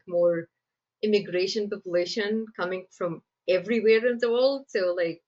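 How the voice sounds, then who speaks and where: A woman with an Egyptian accent talks freely about writing in a relaxed interview. She fills her speech with "like" and breaks into laughter often. The recording sounds a bit muffled. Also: mid-range voice. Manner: chatty, laughs often, lots of "like" fillers. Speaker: screenwriter guest. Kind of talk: casual interview answers.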